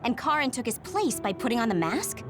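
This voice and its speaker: A woman's voice: fairly high pitch, very animated, brisk, over loud music. A woman playing an analytical, decisive young soldier in a dubbed video game cutscene.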